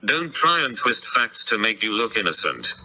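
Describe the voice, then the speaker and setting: A man's voice: lowish voice, very animated, normal speed, background noise. A man's synthetic-sounding, hard-edged voice reading out text messages.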